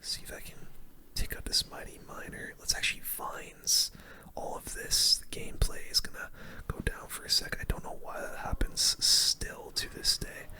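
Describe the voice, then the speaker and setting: A person giving ASMR gameplay commentary in a breathy whisper, using casual gamer slang. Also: normal speed. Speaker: gaming video host.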